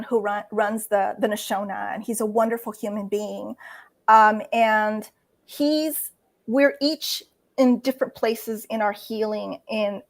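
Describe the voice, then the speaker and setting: A middle-aged woman with a fairly high voice speaks as an interview guest. She talks earnestly and thinks aloud, with frequent fillers and self-corrections. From United States. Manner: earnest, many fillers and restarts. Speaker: guest writer. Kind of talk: casual interview talk.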